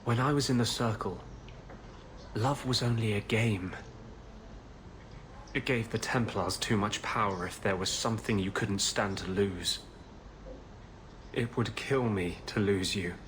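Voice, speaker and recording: Low-voiced, lovestruck fugitive mage, noisy audio. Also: male voice, fairly bright tone. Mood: tender, grave. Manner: earnest, halting, intimate delivery. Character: brooding, devoted male fugitive mage in love. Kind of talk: fantasy video game voice acting.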